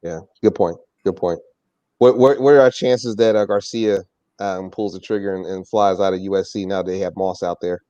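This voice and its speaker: Lowish-voiced man hosting sports talk. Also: fairly even intonation, moderate pace, warm tone, no room sound, lo-fi sound. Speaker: podcast host. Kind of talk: casual sports interview.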